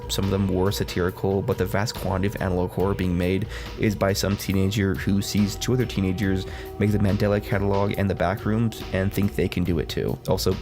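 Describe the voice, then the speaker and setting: A man's voice: sits low, gravelly. A man giving casual, scripted video commentary with a blunt, sarcastic manner and frequent swearing. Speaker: video essay host. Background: background music.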